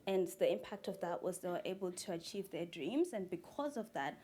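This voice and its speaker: A woman's voice: lowish voice, big pitch swings, fairly quick, mellow, slight background noise. A woman from Zimbabwe answering on a panel as a human rights advocate, composed and heartfelt.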